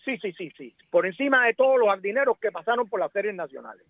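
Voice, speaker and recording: A man's voice: high voice, very animated, fast, no room sound. A man with a Cuban accent discussing sports casually as a guest commentator, emphatic and repeating words, over a narrow radio or phone-like connection. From Cuba.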